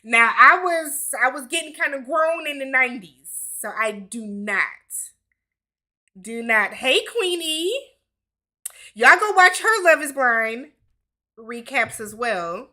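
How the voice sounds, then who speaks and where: A woman's voice: high voice, animated, slow, pushed and bright. A woman in her forties from Wisconsin, hosting a casual livestream Q&A, chatting loosely, laughing often and reading viewer comments aloud. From Milwaukee, Wisconsin. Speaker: YouTube host.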